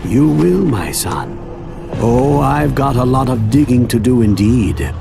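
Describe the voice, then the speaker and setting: Slow-talking, cryptic old gravedigger. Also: male voice, medium pitch, animated, gravelly. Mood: cryptic, wry. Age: older. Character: cryptic old male gravedigger, calm and knowing. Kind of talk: video game cutscene acting. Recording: loud music behind the voice.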